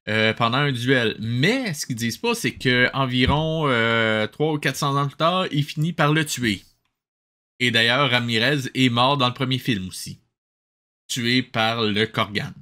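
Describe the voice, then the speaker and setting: A man's voice: medium pitch, sharp tone. A man with a Québécois French accent giving sarcastic, mocking running commentary as a host reacting to a show. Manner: sardonic, mocking. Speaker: host reacting to an old cartoon.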